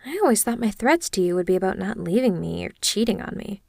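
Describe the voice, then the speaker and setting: A woman's voice: medium pitch, lively intonation, quick pace, gravelly, darkish tone, no echo, clean studio sound. A woman playing an obsessive, clingy yandere kidnapper in an intimate ASMR roleplay, speaking in a gushing, hesitant, awkwardly earnest way straight to the listener. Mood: adoring, gushing.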